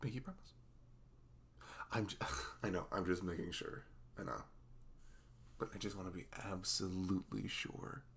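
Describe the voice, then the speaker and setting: A man playing a sweet, goofy, self-conscious young boyfriend in an intimate ASMR roleplay, speaking in a halting, casual, reassuring way. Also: low voice, fairly bright tone, a little hiss or hum. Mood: earnest, reassuring.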